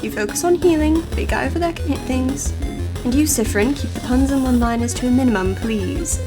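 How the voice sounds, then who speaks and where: A woman's voice: high-pitched, brisk, music in the background, natural voice. A woman voicing a bossy, blunt child adventurer from a role-playing game, brash and curt.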